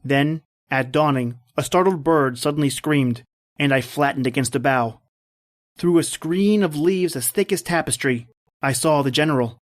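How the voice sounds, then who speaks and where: An actor playing a tense, determined hunted man, narrating his ordeal gravely and deliberately as in an old-time radio drama. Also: fairly high pitch, lightly acted. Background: background music.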